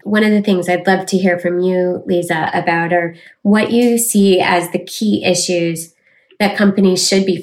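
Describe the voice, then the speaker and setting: A woman's voice: lowish voice, rough recording. A woman who advises companies, speaking in a professional panel discussion.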